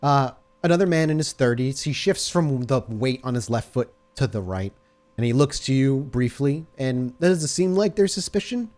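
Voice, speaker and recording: A man's voice: mid-range voice, expressive, moderate pace, darkish tone. Man narrating a tabletop game, descriptive. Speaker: game master.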